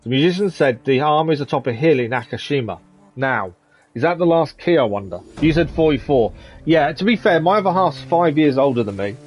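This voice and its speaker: A man's voice: on the high side, animated, a little fast. A man in his thirties giving live gameplay commentary, chatting with viewers and muttering at enemies as he plays. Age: 30s. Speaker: streamer.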